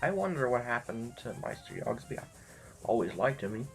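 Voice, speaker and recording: An actor in an audio drama playing a rambling, disillusioned duke and mentor, halting and musing with theatrical outbursts. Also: male voice, mid-range voice, sing-song, vocal fry, poor audio. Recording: music behind.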